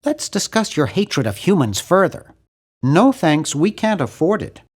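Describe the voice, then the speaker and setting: An American man voicing a nerdy, nervous young man in a cartoon adventure game, earnest and fussy, with a nasal, awkward, excitable delivery. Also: on the high side, unhurried, breathy edge, full character voice. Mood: argumentative.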